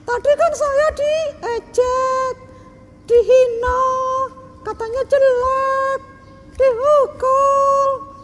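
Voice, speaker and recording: A woman voicing an upset little girl pupil in a Sunday school skit, with a high childlike voice and drawn-out words. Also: fairly even intonation, big-room sound, faint music behind, exaggerated voice. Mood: cheerful.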